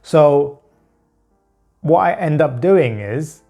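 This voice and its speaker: A man who stutters, talking about his experiences with long blocks, halting pauses and repeated words. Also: a little high, expressive, gravelly, warm tone, faint music behind. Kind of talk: personal talk to camera.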